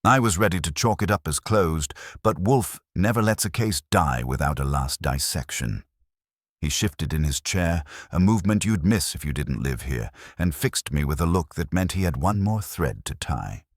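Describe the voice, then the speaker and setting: A man with an extremely low voice narrates a wry detective tale. His pitch moves around in a lively way while the delivery stays measured and literary. The sound is dry and close. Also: no echo. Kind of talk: scripted audiobook narration, wry first-person detective story.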